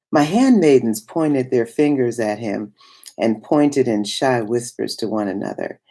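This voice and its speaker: A woman voicing a wistful, awestruck woman of biblical times in a dramatic literary monologue, with hushed, reverent phrasing and a breathy, husky voice that often drops toward a whisper. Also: a bass voice, full character voice. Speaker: reader.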